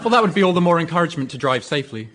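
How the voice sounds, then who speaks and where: A man appearing as a contestant on a comedy show, pitching and defending his idea to the panel. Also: expressive, very fast. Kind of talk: reading out a letter, then defending it. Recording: loud audience noise behind voice.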